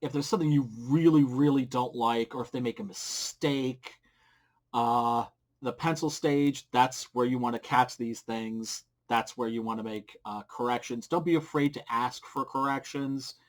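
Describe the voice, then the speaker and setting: A middle-aged man talks casually at a desk mic at home, sharing creator advice. His voice sits at an ordinary pitch, and his delivery is blunt and informal, with a crude streak of humor. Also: moderate pace. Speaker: comic book creator giving advice. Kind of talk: casual advice vlog to camera. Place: small indoor home room. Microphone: desk microphone with pop filter, close.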